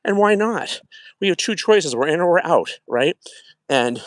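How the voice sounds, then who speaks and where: A man's voice: fairly high pitch, lively intonation, unhurried, dry recording. A middle-aged man hosting a casual livestream, talking while he walks a track outdoors at night and greeting viewers. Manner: chatty, reflective, greets viewers warmly by name. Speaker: wellness livestream host.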